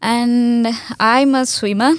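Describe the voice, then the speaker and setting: Earnest Sri Lankan woman, higher voice. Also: steady tone, slow pace, smooth, no room sound. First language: Sinhala. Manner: earnest, repeats words and restarts, many "like" fillers. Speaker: guest. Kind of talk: conversational interview answers.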